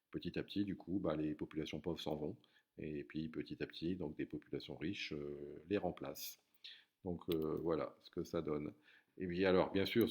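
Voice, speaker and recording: A man's voice: extremely low pitch, gravelly, darkish tone, breathy edge. A man teaching a recorded geography lesson, hesitant, with many fillers and restarts.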